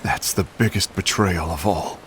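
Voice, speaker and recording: A man's voice: deep voice, moderate pace, fairly bright tone, slight background noise. A man playing a proud, bitter warrior in a dramatic video game cutscene, brooding and emphatic. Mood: wry, resentful. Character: gruff, proud, bitter male warrior and comrade. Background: music.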